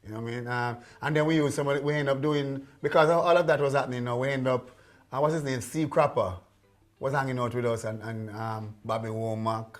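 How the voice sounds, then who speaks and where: Man, casual, rambling stories, mid pitch, fillers and restarts. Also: steady tone, fast talker. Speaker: musician. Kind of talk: casual storytelling.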